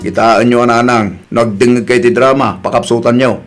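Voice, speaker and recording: A man's voice: medium pitch, steady tone, moderate pace, music in the background, natural voice. A man playing a preachy, peacemaking husband and son in an Ilocano family comedy drama. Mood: calm, concerned.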